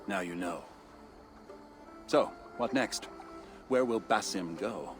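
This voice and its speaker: An actor playing a shrewd, guarded modern leader in a video game, speaking with dry humour. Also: male voice, lowish voice, slow pace, over music. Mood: guarded, firm. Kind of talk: dramatic video game acting.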